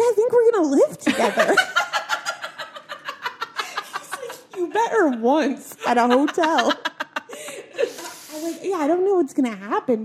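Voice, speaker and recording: A young woman with a squeaky voice, chatting on a podcast and telling stories with frequent giggles. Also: darkish tone, a little hiss or hum. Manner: giggly, chatty storyteller, laughs often. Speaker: podcast guest. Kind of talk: casual chat.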